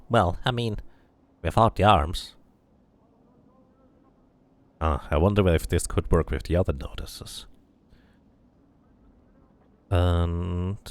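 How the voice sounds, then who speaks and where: A man reading game text aloud and commenting casually as he plays a role-playing game. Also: low-pitched.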